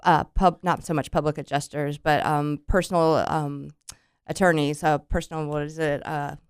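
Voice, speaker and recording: A woman hosts a local radio talk show from the studio, chatty and upbeat, with frequent ums and restarts. Her voice is clear and smooth. The sound is dry and close to the mic. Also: low-pitched, flat delivery, moderate pace, dry recording. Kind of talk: casual radio interview. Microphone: studio microphone.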